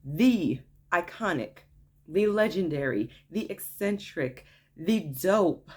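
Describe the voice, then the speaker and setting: A woman with a naturally deep voice telling a story to her viewers in a chatty vlog, often tossing in "okay?" as she hypes things up. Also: animated, slow pace. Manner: chatty, frequent "okay?" asides, hyped enthusiasm. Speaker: vlogger. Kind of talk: casual story time vlog.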